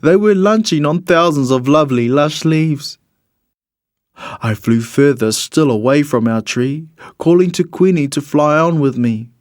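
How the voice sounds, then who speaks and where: A man reads a rhyming children's storybook in an expressive, measured storytelling manner. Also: on the high side, no echo, clean studio sound. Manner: expressive, measured storytelling in the character of a bird narrator. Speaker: storybook reader.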